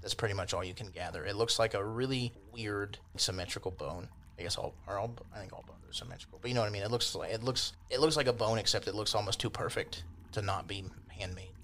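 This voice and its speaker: A man's voice: fairly low pitch, quick pace, few pauses, fairly bright tone, a little hiss or hum. A man acting as game master, improvising descriptions in a casual, rambling, colloquial way.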